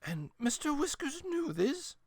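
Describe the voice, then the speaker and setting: A man performs an indignant old grandmother from a children's storybook. The voice is pitched very high above a normal male register. It is haughty, scolding and emphatic. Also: big pitch swings, measured pace, a little mellow, dry recording, exaggerated voice. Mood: shocked. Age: older.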